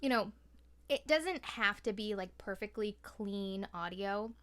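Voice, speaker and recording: A woman with a smooth, clean voice at a middle pitch chats as a podcast guest. She is informal and direct, with a blunt turn of phrase and casual swearing. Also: a little slow, fairly bright tone. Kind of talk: casual chat.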